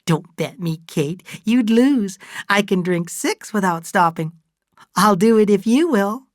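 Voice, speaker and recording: A woman's voice: medium pitch, expressive, a little slow, no room sound, clean studio sound, voice pushed up, close to an everyday voice. A woman voicing an emotional, doting older mother in a storybook, gushing and insistent as she talks. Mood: boastful, merry. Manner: gushing, exclamatory. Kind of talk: dramatised audiobook dialogue.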